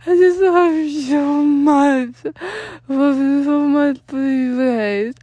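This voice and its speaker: A woozy, clingy teenage boy, played by a woman narrator, high-pitched and loud with tears. He stammers and pleads through slurred words in an amateur audio story, recorded dry and close. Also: slow, no echo. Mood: tearful affection. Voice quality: slurred, tearful.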